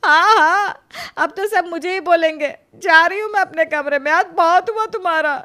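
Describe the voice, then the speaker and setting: A woman's voice: very high-pitched, moderate pace, bright, forceful tone. A woman voicing a manipulative, tearful elder daughter-in-law in a moral cartoon story, wailing with self-pity. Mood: indignant. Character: whiny, manipulative elder daughter-in-law who fakes crying.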